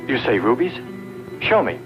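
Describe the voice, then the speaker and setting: Astronaut crewmate, played by a male actor: serious, mid-pitched, muffled vintage sci-fi film track with loud score. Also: big pitch swings, normal speed, music in the background, close to an everyday voice. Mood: questioning, sober. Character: male astronaut crewmate, skeptical then sober. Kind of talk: 1960s science-fiction film dubbing.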